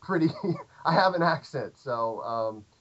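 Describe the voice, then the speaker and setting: Rambling southern Illinois musician, muffled. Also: male voice, mid-range voice, animated, unhurried, a bit bright. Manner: rambling, lots of fillers and restarts. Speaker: podcast guest, musician. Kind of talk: casual interview chat. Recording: dull lo-fi podcast audio.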